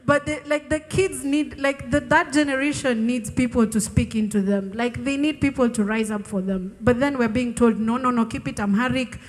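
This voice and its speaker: A woman's voice: on the high side, fairly even intonation, normal speed, few pauses. A woman from Kenya with Ethiopian roots speaking candidly and informally to a congregation on a handheld microphone. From Ethiopian raised in Kenya. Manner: candid, conversational, emphatic. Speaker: church worship leader sharing testimony. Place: large open-sided tent gathering. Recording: reverberant hall PA sound.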